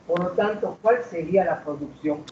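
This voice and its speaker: A man teaching math to a class, asking questions and drawing out key words slowly. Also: high voice, noisy background. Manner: asks students questions, drags out key words. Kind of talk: classroom lecture. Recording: roomy, distant, dull classroom recording.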